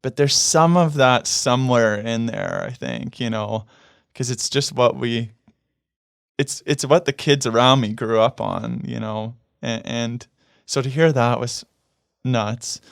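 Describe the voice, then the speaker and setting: A man from Canada talks casually as an interview guest, rambling and leaning on fillers, laughing easily between thoughts. His voice is smooth and resonant, recorded dry and close in a studio. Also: medium pitch, animated, measured pace, dry recording. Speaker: guest musician. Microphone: studio microphone.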